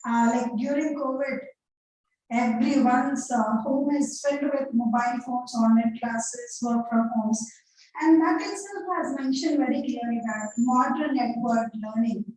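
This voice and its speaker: A woman hosting an event, speaking loudly and chattily to an audience. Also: fairly high pitch. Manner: loud, chatty, joking aside. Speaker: event host. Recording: echoey hall, from far off.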